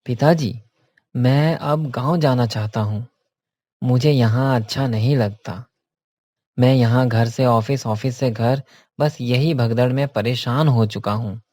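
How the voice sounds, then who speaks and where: A man's voice: medium pitch, unhurried, a little mellow, no echo, studio quality, lightly acted. A man playing an earnest office worker and devoted family man in a cartoon story, explaining himself plainly. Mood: weary, troubled.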